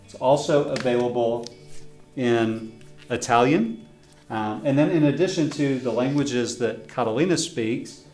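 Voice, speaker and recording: A man's voice: mid-range voice, a little mellow. Man reading a children's story. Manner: friendly storyteller who asks young listeners questions. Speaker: professor reading his own children's book. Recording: roomy echo with faint guitar music.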